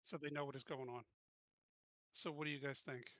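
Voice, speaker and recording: A man's voice: mid-range voice, steady tone, brisk, fairly bright tone, dry recording. A man talking casually as a player in a tabletop roleplaying game. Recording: dull, lo-fi voice audio.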